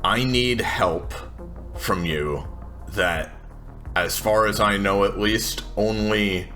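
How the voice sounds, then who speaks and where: A man voicing a guarded, hesitant woman who talks haltingly in a tabletop game. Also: on the low side, pushed and bright, faint music behind. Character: guarded, hesitant tech-savvy woman seeking help.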